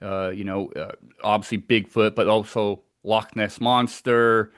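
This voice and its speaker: Scottish male guest, low-pitched, easygoing and chatty, dry recording. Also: unhurried, smooth, no room sound. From Scotland. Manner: relaxed, chatty, with fillers and laughs. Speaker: guest researcher. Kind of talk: casual interview over a video call. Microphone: video call.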